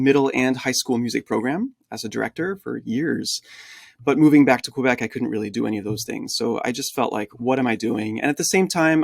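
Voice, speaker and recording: A middle-aged man raised in the United States, a podcast guest telling his life story in an animated, chatty way with frequent fillers. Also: medium pitch, creaky, dry recording, clean studio sound. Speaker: guest, translation agency founder. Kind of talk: conversational podcast interview.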